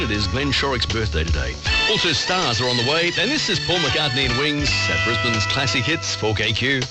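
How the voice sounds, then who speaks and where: An Australian man presenting live on radio from a studio. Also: mid-range voice. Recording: AM radio broadcast picked up online.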